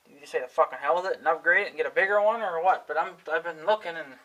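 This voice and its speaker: A man's voice: a little high, racing, sharp tone, dull audio. Rambling, unsure man swearing casually. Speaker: vlogger. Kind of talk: rambling casual talk to camera.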